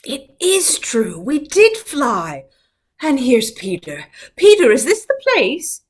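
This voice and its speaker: A woman's voice: high voice, wildly swooping pitch, slow pace, full character voice. A woman playing a pompous, incredulous young boy in an audio play. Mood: dazed.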